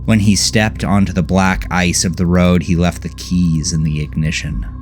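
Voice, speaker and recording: A man narrating a scripted horror story in a measured, dramatic way, letting phrases trail off low. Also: steady tone, darkish tone, faint music behind. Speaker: audiobook narrator.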